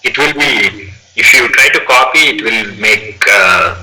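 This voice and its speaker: A man chatting casually over a video call, agreeable and quick to back up the other speaker. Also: fairly low pitch, lively intonation, noisy background. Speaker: conversation partner. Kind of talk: casual conversation.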